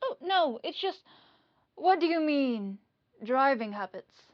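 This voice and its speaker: A woman's voice: sits high, big pitch swings, takes it slowly, highs cut off, heavily acted. A woman playing a clingy rich yandere in an audio roleplay, fluttery and defensive as she feigns innocence. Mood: feigned innocence.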